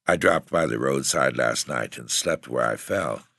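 A man doing a grim, weary gentleman tramp. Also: a bass voice, measured pace, vocal fry, dry recording, studio quality, exaggerated voice. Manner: grim, bitter, reflective.

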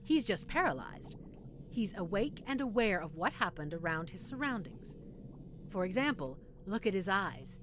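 A gleeful female mad scientist, acted by a woman, speaks straight to her captive in an audio roleplay. Her medium-pitched voice is very lively and swings widely as she teases with condescending sweetness. Clearly audible background noise sits behind her. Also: normal speed, lightly acted. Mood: gleeful, proud. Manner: sweetly condescending, teasing, punctuated by cackling. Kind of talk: audio roleplay acting addressed to the listener.

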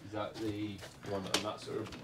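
A man's voice: lowish voice, completely flat delivery, normal speed, heavy background noise. A man working alongside an electrician, asking short practical questions about the job. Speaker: electrician's coworker. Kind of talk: casual work chat.

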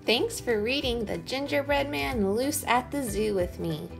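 A woman reading a picture book aloud for young children with expressive, deliberate phrasing. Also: on the high side, vocal fry, soft background music. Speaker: children's story reader, teacher.

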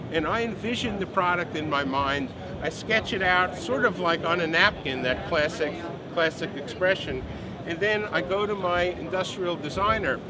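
A man in his sixties explaining a product in an interview, unscripted, enthusiastic and emphatic. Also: on the high side. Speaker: company president, product designer being interviewed. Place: busy indoor exhibition booth. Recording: noisy trade show floor.

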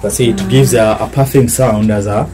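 Young male tour guide, Kenyan accent, mellow tone, explains patiently with repetition, faint music behind. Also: fairly low pitch, steady tone, normal speed. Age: young adult. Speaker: snake park guide. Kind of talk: informal guided tour talk. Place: open-sided snake park shelter with mesh walls.

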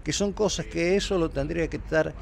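A retired man in his sixties with an Argentine accent, answering a street interview with halting restarts and fillers. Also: fairly high pitch, vocal fry. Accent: Córdoba Argentine Spanish. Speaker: retired provincial employee. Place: city street outdoors.

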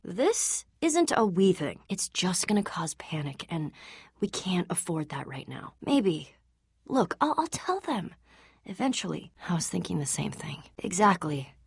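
Husky, tough young adventurer heroine. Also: female voice, lowish voice, sing-song, unhurried, no room sound, heavily acted. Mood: frightened, hushed. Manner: blunt, casual, earnest. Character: tough, guarded young female adventurer, loyal and wry. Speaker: game voice actress. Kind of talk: animated adventure game dialogue.

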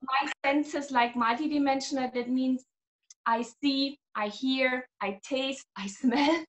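A high-pitched woman in her thirties, originally from Germany, speaking on a video call from a small home room. She talks animatedly as a guest explaining her healing work, often breaking into laughs. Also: fairly even intonation, slow pace. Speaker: guest, energy healer. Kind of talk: casual video-call interview.

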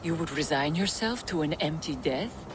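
An older woman playing a gentle, resigned old seeress in a video game, with a soothing, measured delivery. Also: deep voice, expressive, noisy background. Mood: resigned, calm. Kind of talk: dramatic video game acting.

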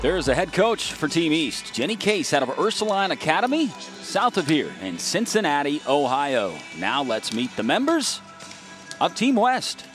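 Brief: upbeat man announcing sport on a handheld mic, slightly high voice, arena broadcast with music underneath. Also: big pitch swings, normal speed. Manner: upbeat, polished broadcaster delivery. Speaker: play-by-play announcer. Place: indoor arena courtside.

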